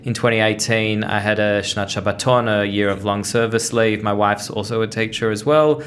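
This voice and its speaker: A middle-aged Australian man with a lowish voice chats as a podcast guest. He tells his story in a relaxed, self-deprecating way, often restarting his sentences. A faint hiss sits under his voice. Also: normal speed. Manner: chatty, self-deprecating, frequent restarts and laughter. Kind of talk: casual interview storytelling.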